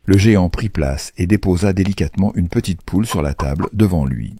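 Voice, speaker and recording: A man's voice: low-pitched, fairly quick, warm tone, husky, no echo. A man narrating a scripted children's fairy tale as storyteller. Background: faint music.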